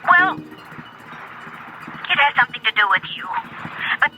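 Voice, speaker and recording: A woman's voice: high-pitched, sing-song, unhurried, a little hiss or hum. An actress playing an anxious, devoted woman who speaks in a hesitant, earnest way in a 1970s radio drama. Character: anxious, guarded, devoted woman confiding by telephone. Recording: dull lo-fi old radio recording.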